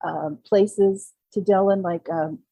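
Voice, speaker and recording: A woman in her sixties, a guest author telling personal stories in a casual, halting conversational way. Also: mid-range voice, steady tone, mellow, no echo. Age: 60s. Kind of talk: casual interview, personal storytelling.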